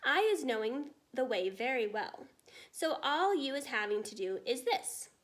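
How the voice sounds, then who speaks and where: A woman's voice: high-pitched, sing-song, a little slow. Lady reading as a friendly storybook giant, muddles his grammar, makes up silly words, voice swings up and down. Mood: earnest.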